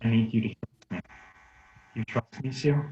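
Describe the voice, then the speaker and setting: A man's voice: medium pitch, steady tone, normal speed, natural voice. A man playing a confident, protective thirteen-year-old boy in a screenplay table read, speaking in a casual, reassuring way. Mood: urgent. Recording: echoey room, dull noisy microphone.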